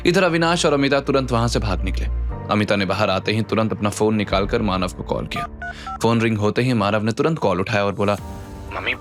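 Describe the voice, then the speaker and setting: A man narrating a scripted audio drama serial in a dramatic storytelling style. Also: on the low side.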